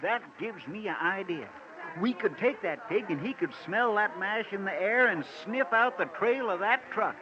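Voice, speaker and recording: Casting: self-important, dim country sheriff, rural American accent, highish voice, muffled vintage radio sound. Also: male voice, animated, normal speed, crowd noise. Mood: folksy, pleased. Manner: folksy, blustering, hesitant. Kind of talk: 1940s radio acting. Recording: noisy muffled old radio recording.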